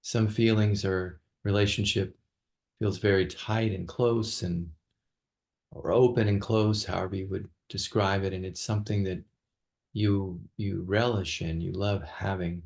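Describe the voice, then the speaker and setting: A man leading an unscripted guided meditation as a teacher, speaking haltingly with long pauses and repeated words. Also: low-pitched, fairly even intonation, creaky, a little mellow.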